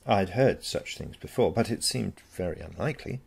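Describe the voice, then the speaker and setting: A man's voice: low voice, expressive, moderate pace, creaky, a little mellow, husky. A man reading a first-person story as scripted narration. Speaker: story reader.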